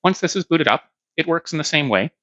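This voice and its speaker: A man's voice: on the high side, lively intonation, a little fast, bright, forceful tone, no echo, muffled recording. A man giving a hands-on product demonstration, explaining plainly step by step.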